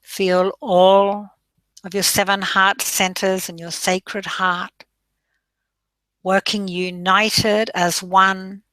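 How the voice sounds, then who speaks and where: A woman leading a guided meditation in a slow, soothing voice that drifts into soft breathy passages. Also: medium pitch, little pitch movement, no room sound, rough recording.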